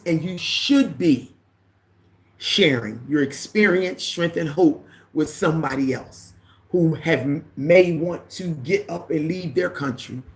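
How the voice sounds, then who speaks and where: A woman with a deep voice hosts a casual livestream. She greets viewers by name, reads their comments aloud, and drifts into preachy asides. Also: a little mellow. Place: living in the Caribbean.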